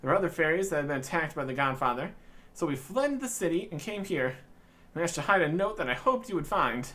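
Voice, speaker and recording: A man's voice: on the high side, big pitch swings, fast, pushed and bright. A man voicing an anxious, earnest fairy while reading video game dialogue aloud. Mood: worried, earnest.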